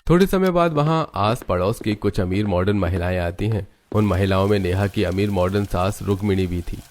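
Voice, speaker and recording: A man's voice: low voice, brisk, a little mellow. A man reading scripted narration for a moral story.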